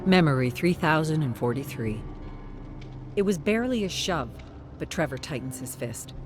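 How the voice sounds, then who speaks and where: A mid-pitched narrator reads a gothic fantasy story with solemn drama. The delivery is scripted and suspenseful, over a bed of music. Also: expressive, normal speed.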